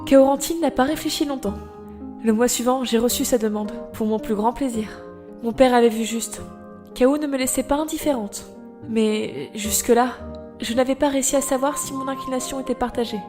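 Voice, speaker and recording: Young woman acted, high voice, earnest, measured storytelling, devoted sister, audio fiction, music underneath. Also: natural voice. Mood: wistful, sober. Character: grieving young Breton woman, devoted elder sister, recounting her past. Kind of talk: audio drama acting and storytelling.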